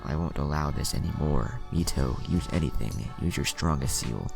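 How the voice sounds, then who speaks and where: A man's voice: extremely low pitch, moderate pace, vocal fry, over music, exaggerated voice. A man voicing a sinister, curt male villain who commands an invasion in a story reading. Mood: angry, commanding.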